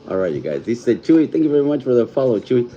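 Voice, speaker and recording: A man in his fifties from California hosting a casual livestream from home, reading out viewer comments and names, thanking people warmly and slipping in Spanish phrases. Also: mid-range voice, highs cut off, a little hiss or hum. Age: 50s. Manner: warm, thankful, reads out viewer names slowly; mixes Spanish phrases into English. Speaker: livestream host.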